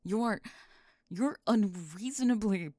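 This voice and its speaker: A woman voicing a guarded, dry-witted goth high school girl in an ASMR roleplay, terse, reluctant and deadpan. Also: measured pace, no echo, close to an everyday voice. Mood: exasperated, confessing. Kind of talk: ASMR roleplay audio drama.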